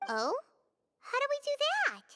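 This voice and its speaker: A woman voicing a tiny, childlike fairy companion in a video game, bubbly and emphatic with a squeaky voice. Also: huge pitch swings, normal speed, sharp tone, slight background noise, exaggerated voice. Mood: curious, pleased. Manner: bubbly, emphatic, speaks of herself in third person. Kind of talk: animated video game character acting.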